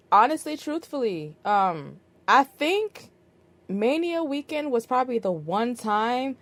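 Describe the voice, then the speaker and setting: Woman, podcast host, casual relaxed chat, lowish voice, frequent fillers. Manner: relaxed, many fillers, laughs easily.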